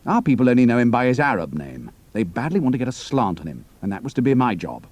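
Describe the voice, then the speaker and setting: An actor playing a cool, capable young pilot and spy, speaking in a clipped, matter-of-fact way. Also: male voice, mid-range voice, sing-song, fast, darkish tone. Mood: earnest briefing.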